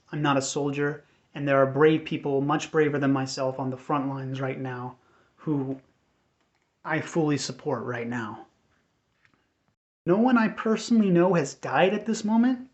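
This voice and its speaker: An American man giving an unscripted personal update in a measured, earnest, hesitant way. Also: a little high. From United States. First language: English. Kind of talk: unscripted personal talk to camera. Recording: dull, lo-fi sound.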